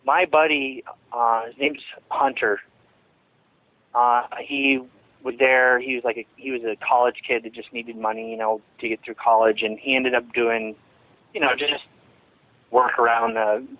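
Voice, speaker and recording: A man's voice: medium pitch, steady tone, a little slow. A man giving a phone interview, talking in a rambling, emphatic way with many restarts. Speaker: interviewee, former associate of a ministry. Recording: tinny phone line, dry.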